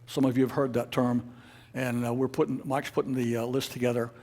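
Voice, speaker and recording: A man presenting informally at a club meeting, halting and rambling, with frequent uhs and false starts. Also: mid-range voice, flat delivery, a little mellow. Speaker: amateur radio club officer presenting at a meeting.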